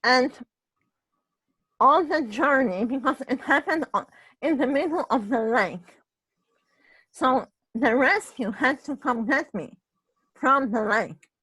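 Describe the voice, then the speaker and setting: A woman from Poland with a Polish accent and a husky voice, telling a personal story in casual chat, halting and restarting her phrases and often adding "right?". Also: high voice, lively intonation, pushed and bright, dry recording, rough recording. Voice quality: husky voice, ongoing voice problem.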